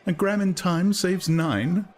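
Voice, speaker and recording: A man's voice: sits high, slow pace, a little mellow, a little hiss or hum, pitched above the performer's own voice. A man voicing a naive, cheerful young woman who grows anxious and pleading. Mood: chirpy.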